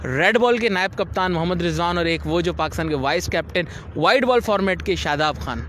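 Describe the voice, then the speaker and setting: A man's voice: sits high, expressive, fairly quick, a bit bright, slight background noise. A young man working as a sports analyst, giving fluent, assured cricket analysis into a handheld mic outdoors by a stadium. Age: young adult. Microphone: handheld reporter mic.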